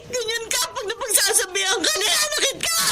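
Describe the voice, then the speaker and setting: A woman's voice: very high voice, animated, a little fast, very noisy. An actress playing a distressed young mother in a radio drama, emotional and pleading. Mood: crying, anguished. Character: distressed young mother living with a drinking partner.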